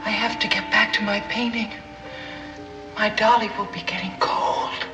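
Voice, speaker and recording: An actress playing a dazed young woman whose mind has slipped back to childhood, speaking softly and dreamily. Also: mid-range voice, flat delivery, normal speed, close to an everyday voice. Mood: dreamy. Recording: echoey old TV soundtrack with music.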